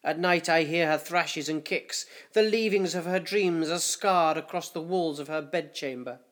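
A man voicing worried old councillors of a dying hive, debating in a fretful, hushed way. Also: fairly high pitch, normal speed, close to an everyday voice. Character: anxious, whispering councillors of a fading hive.

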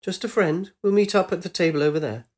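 A man voicing a calm, guarded woman who speaks softly and reassuringly. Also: fairly low pitch, quick pace, slightly rough, voice pushed up.

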